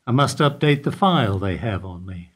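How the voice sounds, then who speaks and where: A man's voice: lowish voice, lively intonation, moderate pace, breathy edge. A man playing a dry, evasive security guard. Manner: dry, evasive, terse answers. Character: guarded, weary older male bank security guard. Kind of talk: amateur radio play acting.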